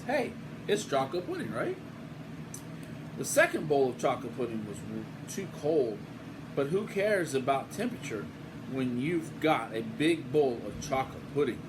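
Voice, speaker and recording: An older man, a grandfather, reading a picture book aloud in a casual, playful way with folksy asides. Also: mid-range voice. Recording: home recording with steady room hum.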